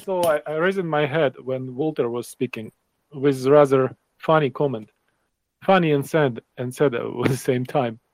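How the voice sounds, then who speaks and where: A man's voice: medium pitch, normal speed, darkish tone, poor audio. A man in his fifties from Ukraine, Ukrainian-accented English, speaking in a casual panel discussion, often stopping and restarting as he searches for words.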